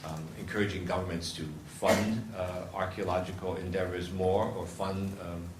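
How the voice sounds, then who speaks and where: A man introducing a panel at a conference and asking questions, halting with many ums and uhs. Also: low voice, little pitch movement, background noise. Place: conference hall.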